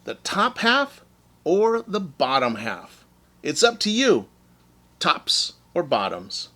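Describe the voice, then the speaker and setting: A man acts out a clever storybook hare with a raised, bright voice that swings widely in pitch. He is an eager salesman, persuasive and emphatic, always scheming. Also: wildly swooping pitch, slow pace, full character voice. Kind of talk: children's storybook character voice.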